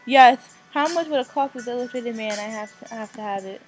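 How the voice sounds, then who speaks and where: A woman voicing an eager, excitable bookworm wife in a visual novel, in a gushing manner. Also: fairly high pitch, fast talker, lightly acted. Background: music.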